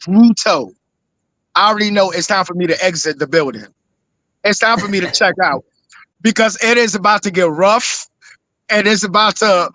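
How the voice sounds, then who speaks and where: A man's voice: high voice, bright, forceful tone, no echo, low-quality recording. A man from Ghana speaks in a slightly raised voice. He is an earnest, preachy panelist who circles back to the same points. His audio is close and dry, with no room sound, as he talks over a video call. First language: Twi. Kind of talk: casual talk show discussion. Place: home room, remote.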